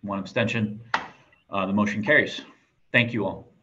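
A man chairing a board meeting over a video call, calling motions in a brisk, procedural way. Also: on the low side, steady tone, bright, forceful tone. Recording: dull lo-fi call audio.

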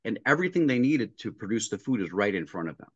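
A middle-aged man explaining over a video call, his lowish voice in dry, slightly muffled audio. He tells practical stories step by step, acting out both sides of the conversation. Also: big pitch swings, fast, husky, dry recording. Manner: explains step by step, acting out dialogue, frequent restarts. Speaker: restaurant consultant guest. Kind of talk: casual interview storytelling. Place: home room with a painting behind him.

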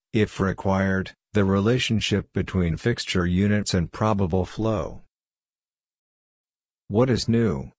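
Male voice-over for software instruction, deep pitch, dry close sound, formal scripted delivery. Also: dry recording. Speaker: software tutorial narrator.

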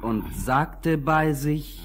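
A man narrating a scripted fairy tale as a calm, measured storyteller. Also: fairly high pitch, noisy background.

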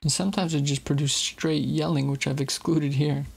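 A man hosting a casual tech video, explaining software in a chatty, candid way. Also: on the high side, flat delivery, moderate pace, vocal fry, over music. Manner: chatty, candid about frustrations.

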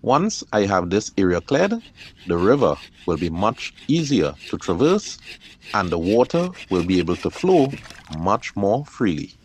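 A middle-aged man, calmly narrating his own kayak outing in a measured, explanatory voice-over. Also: on the low side, slightly rough. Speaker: kayaker and channel host.

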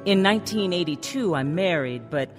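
A woman's voice: lowish voice, expressive, moderate pace, close to an everyday voice. An American actress playing an earnest, troubled woman who recounts her life confessionally in a radio drama. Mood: reflective. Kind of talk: radio drama narration and dialogue. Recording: radio drama with music underneath.

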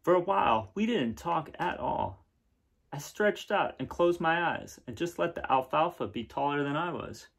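A man's voice: on the high side, expressive, normal speed, vocal fry, a bit bright. A man in his thirties talking casually to camera as he presents and reads a storybook. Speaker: storybook reader. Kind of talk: casual read-aloud to camera. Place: small room at home, indoors.

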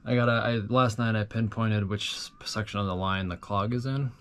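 A man's voice: on the low side, fairly even intonation, normal speed, heavy background noise. A man in his forties in a small wooden boat cabin, explaining a plumbing repair to a handheld camera in a matter-of-fact, tired way. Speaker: sailing vlogger. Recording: loud music under the voice.

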